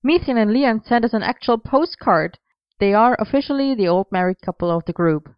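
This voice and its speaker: An excitable, cheeky young man, as a woman narrator puts him on, raised in pitch and bursting with energy. His lines come out animated and exclamatory. The recording is dry and slightly muffled. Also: a little fast, smooth, no room sound, lightly acted. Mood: excited.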